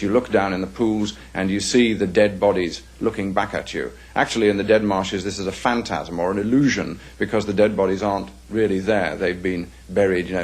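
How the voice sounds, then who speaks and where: A man with an English accent giving unscripted scholarly commentary in an interview, speaking in a deliberate, halting, explanatory way. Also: deep voice, breathy edge.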